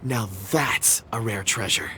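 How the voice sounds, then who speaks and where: A man's voice: fairly low pitch, takes it slowly, noisy background. An actor playing a courteous, eager young male gem enthusiast in a video game, speaking eloquently. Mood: enthusiastic.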